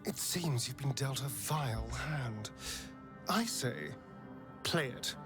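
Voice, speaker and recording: A man's voice: mid-range voice, expressive, slow, a bit bright, faint music behind. An actor playing a suave, sly male companion in a fantasy game, theatrical and silky in delivery. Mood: sly coaxing. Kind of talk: fantasy game dialogue acting.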